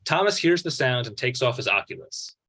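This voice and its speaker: A man's voice: mid-range voice, sing-song, brisk, bright, forceful tone. A man giving scripted narration, reading out stage directions at a table read.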